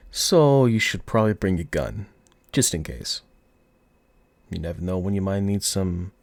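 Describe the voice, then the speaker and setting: Low-voiced, cocky flirty mafia boss played by a man. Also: darkish tone, no echo. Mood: suggestive, teasing. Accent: put-on mafia gangster accent. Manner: teasing, taunting, drawn-out seductive delivery. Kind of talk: ASMR audio roleplay acting.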